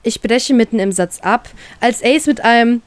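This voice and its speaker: A woman's voice: a little high, normal speed, no echo. Woman narrating dramatically, dry close sound. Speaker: narrator of fan fiction audio story. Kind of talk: dramatic scripted story narration.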